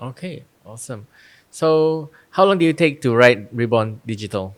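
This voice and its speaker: A man's voice: mid-range voice, animated, moderate pace. A man hosting a podcast, asking questions in a friendly, slightly hesitant way with short agreeing replies. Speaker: podcast host. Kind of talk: casual interview talk.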